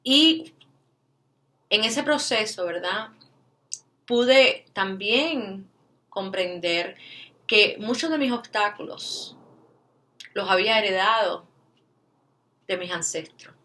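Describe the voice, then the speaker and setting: Cuban woman vlogger, bright, forward tone, tags questions onto her lines, slips in English fillers. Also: mid-range voice, slow pace, pauses often. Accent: Cuban Spanish. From Cuba. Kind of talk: personal storytelling to camera.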